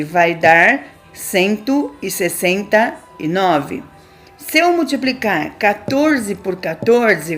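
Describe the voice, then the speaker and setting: A woman math teacher explaining a lesson step by step, patiently asking questions and answering them herself. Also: medium pitch.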